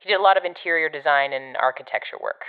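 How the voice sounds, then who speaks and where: Woman acting a low-voiced, gruff, blunt agent. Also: fast, narrow, tinny audio, lightly acted. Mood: wry, reflective. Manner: blunt, sardonic. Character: gruff, foul-mouthed, guarded woman agent of a secret department. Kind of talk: audio drama dialogue. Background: music.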